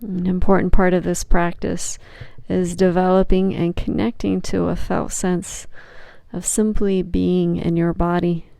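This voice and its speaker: Woman, yoga nidra teacher, guided meditation, slightly low pitch, soothing, gentle, long pauses, very dry close sound. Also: little pitch movement, creaky, dry recording.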